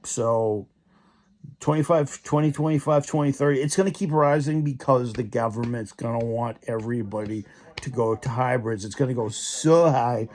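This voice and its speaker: Young man, casual vlog rant, rambling, lots of restarts, mid pitch. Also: normal speed, a little mellow. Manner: rambling, with many restarts and repeated "so". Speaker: vlogger.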